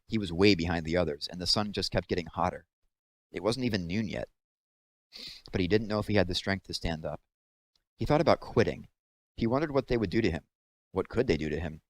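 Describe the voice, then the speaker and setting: A man's voice: deep voice, low-quality recording. A man narrating a children's novel as a read-aloud, in scripted storytelling style.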